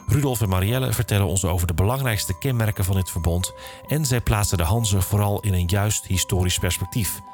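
A man hosts a podcast in a fairly low voice. He speaks in a friendly, informal, conversational way, with faint music in the recording. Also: a little fast, a little mellow. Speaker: podcast host.

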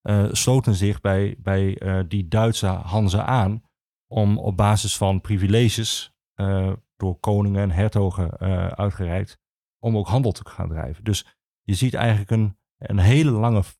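A man explaining history as an interview guest, speaking haltingly with many filled pauses. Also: fairly low pitch, steady tone, warm tone, dry recording, clean studio sound. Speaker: archivist, podcast guest.

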